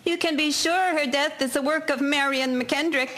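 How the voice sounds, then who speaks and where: Woman as worried old-time farm wife, high voice, accusing and superstitious. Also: steady tone, normal speed, noisy background, close to an everyday voice.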